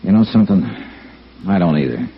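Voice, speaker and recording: An actor playing a wry, dogged insurance investigator in clipped, casual 1950s radio noir style. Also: male voice, low voice, expressive, fairly quick. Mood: probing, terse. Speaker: lead actor and narrator of a radio detective series. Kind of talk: 1950s radio noir acting and first-person narration. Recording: muffled vintage radio recording with music.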